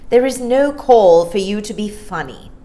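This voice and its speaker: A woman's voice: medium pitch, lively intonation, a little slow, warm tone, pitched above the performer's own voice, lightly acted. A woman voicing a vain, haughty nanny from a storybook, curt and prim in manner. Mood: snappy.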